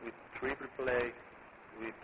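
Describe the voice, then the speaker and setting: A man with an Israeli accent, a company chief executive giving a conference presentation in a deliberate way, repeating words as he builds his points. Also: medium pitch, flat delivery. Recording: muffled recording with clear background noise.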